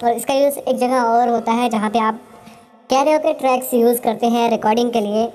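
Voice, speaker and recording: A man voicing a small chipmunk, his voice digitally pitch-shifted upward. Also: steady tone, a little fast, darkish tone. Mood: explanatory, playful. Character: small chipmunk, funny cartoon talking-animal voice.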